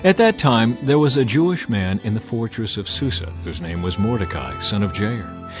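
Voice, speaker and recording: A man reading scripture as a dramatic audio narration, in a measured, solemn storytelling manner. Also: lowish voice, expressive. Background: background music.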